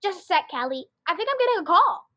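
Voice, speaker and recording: A woman's voice: extremely high pitch, very animated, quick pace, bright, forceful tone, full character voice. A girl of about twelve playing a chatty, phone-obsessed schoolgirl in a comedic radio drama. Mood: gushing, carefree. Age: child about twelve. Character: phone-obsessed chatty schoolgirl, gushing, whiny when upset.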